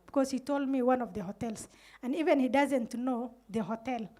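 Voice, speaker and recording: Middle-aged Kenyan Somali woman, high-pitched, earnest panelist telling her story, handheld mic indoors. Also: normal speed, a little mellow. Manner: earnest, recounts events with quoted dialogue. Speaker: community radio manager on a panel. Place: indoor room with curtains. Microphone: handheld microphone.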